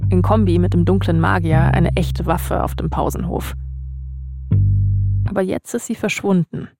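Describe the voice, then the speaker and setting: Woman narrating a podcast story, lowish voice with vocal fry, music underneath. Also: fairly even intonation, moderate pace, warm tone, dry recording, clean studio sound. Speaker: narrator, host.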